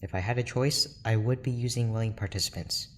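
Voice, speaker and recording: A man's voice: on the low side, fairly quick, slight background noise, lightly acted. An actor playing a weary, formal research doctor in an audio drama, choosing his words carefully and apologetically. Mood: weary, apologetic. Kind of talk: naturalistic audio drama acting.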